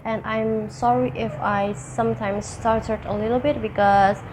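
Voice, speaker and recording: A woman's voice: fairly high pitch, moderate pace, noisy background. Nasal young woman explaining step by step. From Indonesia. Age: young adult. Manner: steady step-by-step explaining with small restarts. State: sick with a stuffy nose. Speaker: student. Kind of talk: explaining finance homework answers.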